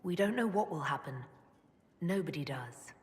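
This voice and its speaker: An actress playing a level-headed, guarded leader in a fantasy video game, speaking in a dry, direct way. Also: female voice, lowish voice, animated, normal speed, close to an everyday voice. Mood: guarded, steady. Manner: dry, direct, matter-of-fact. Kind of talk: video game character dialogue. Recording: long hall reverb.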